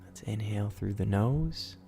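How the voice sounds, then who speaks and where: A man leading a guided sleep meditation in a soothing, gentle, unhurried way, as the host. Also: fairly low pitch, animated, creaky, soft background music.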